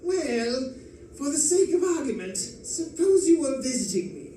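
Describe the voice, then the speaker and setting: An actor playing a gleeful, erudite criminal mastermind in an old-time radio drama, florid and condescending in manner. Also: male voice, very high-pitched, very animated, measured pace, dark tone, full character voice. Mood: smug, scheming. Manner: theatrical, condescending, chuckling, florid. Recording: distant, very echoey live stage recording, faint noise.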